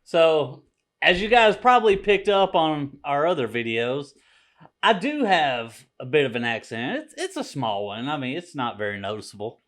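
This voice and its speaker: A man's voice: a little high, very animated, normal speed, fairly bright tone. A man from Mississippi with a Southern American accent, co-hosting a casual talk show in an easygoing manner. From Mississippi, USA. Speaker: podcast co-host. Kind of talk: casual chat.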